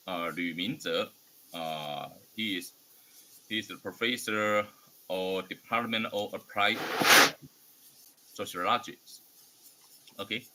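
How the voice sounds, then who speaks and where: Low-voiced Taiwanese man hosting, halting English. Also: background noise. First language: Mandarin Chinese. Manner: halting, hesitant non-native English delivery. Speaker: host of an online academic forum. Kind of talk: formal welcome speech.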